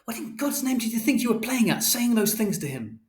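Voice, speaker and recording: Man acting out a distressed high-pitched mother. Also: big pitch swings, brisk, darkish tone, echoey room. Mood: indignant. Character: distressed, indignant mother shouting in argument.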